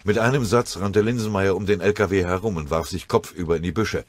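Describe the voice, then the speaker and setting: A man's voice: sits low, a little fast, slight background noise. A man reading a dramatic war story as scripted audiobook narration.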